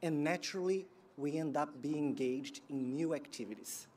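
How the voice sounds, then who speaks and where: A man, giving a prepared graduation keynote in a measured, earnest way from a podium outdoors. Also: on the high side, mellow, a little hiss or hum. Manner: measured, earnest delivery with pauses. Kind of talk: prepared commencement speech. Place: outdoor sports field ceremony. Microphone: podium microphone.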